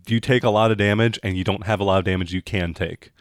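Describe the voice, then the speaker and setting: A man's voice: on the low side, steady tone, quick pace, no echo, clean studio sound. A man with an American accent, a game master casually explaining rules in an emphatic, matter-of-fact way.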